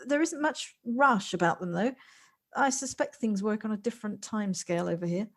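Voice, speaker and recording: A woman's voice: medium pitch, fairly quick, close to an everyday voice. A woman acting in an audio play as an anxious, polite newcomer to the countryside, speaking in hesitant, formal, wordy sentences. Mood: fond, hesitant. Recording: home recording on personal device, noisy.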